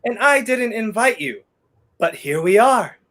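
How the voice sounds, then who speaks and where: A young man acts out a wry, weary fugitive hero from a 1930s radio thriller. He talks slowly and sardonically, with big swoops in pitch and theatrical timing. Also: sing-song, a bit bright, heavily acted. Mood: dry, teasing. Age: young adult. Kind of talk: 1930s radio play acting.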